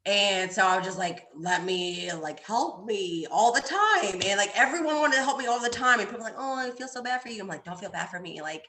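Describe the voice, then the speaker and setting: A woman's voice: medium pitch, animated, a little fast, few pauses, bright, forceful tone, echoey room. A woman, an army staff sergeant and podcast guest, telling stories in casual, brash slang and acting out her retorts. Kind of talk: casual chat, storytelling.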